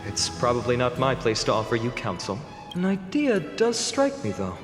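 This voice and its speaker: A man voicing an earnest young heroic warrior in a video game, polite, articulate and gently teasing. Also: mid-range voice, huge pitch swings, moderate pace. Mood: friendly, challenging. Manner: polite, articulate, slightly theatrical. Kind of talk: anime-style video game voice acting. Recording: echoey hall reverb, music behind.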